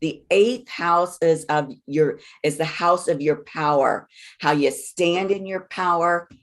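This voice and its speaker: A woman giving a one-on-one astrology reading, explaining in measured, deliberate phrases with repeated words for emphasis. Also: on the low side.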